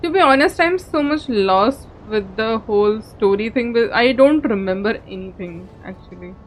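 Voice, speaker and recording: A woman's voice: a little high, lively intonation, normal speed, a little hiss or hum. A woman chatting casually as she streams a game. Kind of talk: casual livestream chat while gaming.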